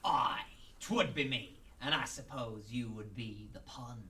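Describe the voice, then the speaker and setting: A gleeful, sly pirate crime lord with a thick stage brogue. He drawls slowly and theatrically in a piercingly bright voice, a little off the microphone, as in a radio play. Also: medium pitch, recorded from a distance. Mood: sly, taunting. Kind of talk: amateur radio drama acting.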